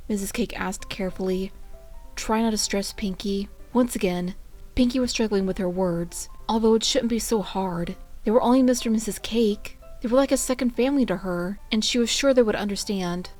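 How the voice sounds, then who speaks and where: A woman reading a fan fiction story aloud as an expressive narrator. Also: medium pitch.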